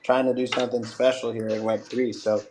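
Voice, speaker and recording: A man's voice: mid-range voice, level tone, a little fast, a little mellow, slight background noise. A man hosting a casual live online community talk in an encouraging, slangy, upbeat way. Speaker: host. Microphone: live online audio room.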